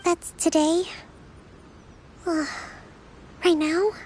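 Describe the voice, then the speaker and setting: An actress playing a gracious, overworked young leader in a video game, measured, polite and formal. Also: female voice, very high-pitched, flat delivery, darkish tone, slight background noise, full character voice, rough recording. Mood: resigned. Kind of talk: video game character acting.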